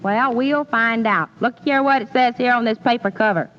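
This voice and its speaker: Woman playing a high-voiced, scatterbrained comic lady. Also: moderate pace, close to an everyday voice. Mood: excitable, folksy. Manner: folksy, exclamatory. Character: scatterbrained, excitable comic lady, folksy and gullible. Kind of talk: old-time radio comedy acting. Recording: noisy, muffled old radio recording.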